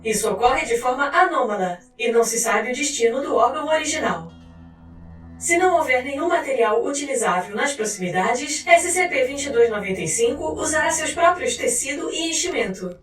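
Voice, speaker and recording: A woman's voice: high-pitched, animated, a little fast, a bit bright. A woman with a Brazilian accent reading a scripted scientific file in a formal, clinical manner. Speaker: narrator. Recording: roomy echo, distant mic, faint music. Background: music.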